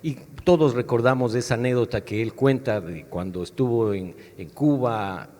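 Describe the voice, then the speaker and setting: A man speaking as a panelist in a discussion at a book fair, reflective and measured as he builds his questions. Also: medium pitch, darkish tone. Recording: echoey hall sound.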